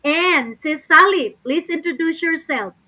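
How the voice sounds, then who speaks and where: A woman's voice: extremely high pitch, lively intonation, unhurried, bright, forceful tone. A woman, upbeat and formal as host of a live online interview. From Philippines.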